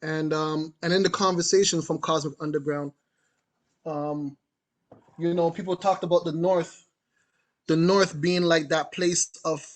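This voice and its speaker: A man with a Jamaican accent talks as an interview guest, easygoing and rambling, leaning on "you know" and "like". His voice sits a little high for a man. The sound is close and dry, with no room around it. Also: fairly even intonation, unhurried, dry recording. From Canada. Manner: loose and chatty, full of "you know" and "like". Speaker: guest artist and book contributor. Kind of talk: casual conversation.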